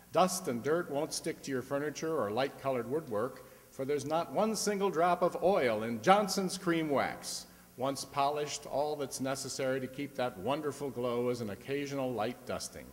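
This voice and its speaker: A man announcing in a polished, old-time radio style, as if reading a scripted sales pitch. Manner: polished, presentational. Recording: echoey hall, long reverb.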